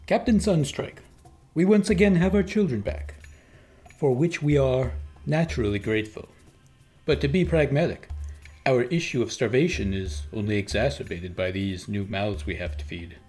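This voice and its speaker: An actor playing a grave, pragmatic alien village elder in a science-fiction radio play, formal and measured. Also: male voice, mid-range voice, a little mellow, slightly rough, soft background music, natural voice. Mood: grave, courteous.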